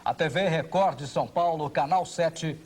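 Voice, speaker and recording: A Brazilian man delivers scripted documentary narration. His voice is notably high for a man and has a husky grain to it. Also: moderate pace, darkish tone.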